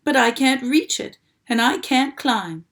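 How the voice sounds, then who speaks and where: Woman reader doing a young male pig: high, loud, desperate, storybook. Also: animated, a little slow, exaggerated voice. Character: frantic young male pig, emotional and pleading.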